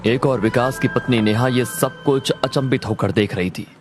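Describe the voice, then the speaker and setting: A man's voice: medium pitch, moderate pace. A man narrating a scripted horror story as a storyteller. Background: loud background music.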